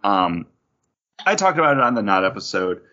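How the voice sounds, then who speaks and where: A man's voice: on the low side, very animated, moderate pace, bright, forceful tone, lo-fi sound. A man hosting a podcast in casual, chatty talk with friends. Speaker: podcast host. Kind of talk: casual panel chat about beer and geek news.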